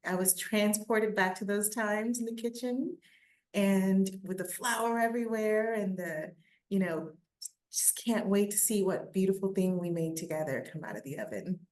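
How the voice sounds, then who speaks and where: A woman with a gentle, measured manner, talking informally and reading a children's story aloud. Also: medium pitch. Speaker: children's book illustrator.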